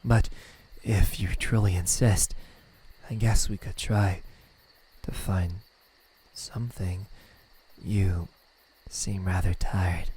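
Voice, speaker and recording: A man voicing a lonely, tender demon in love in an ASMR roleplay, speaking softly and haltingly, often near a whisper. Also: deep voice, steady tone, a little hiss or hum. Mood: gentle, protective. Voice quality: breathy, soft, half-whispered. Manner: hesitant, halting, stammering. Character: lovesick male demon, lonely, tender, self-doubting.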